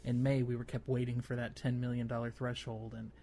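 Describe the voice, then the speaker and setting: A man's voice: medium pitch, completely flat delivery, fairly quick, dark tone. Man podcasting over noticeable noise. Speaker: podcast host. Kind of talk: casual gaming podcast talk.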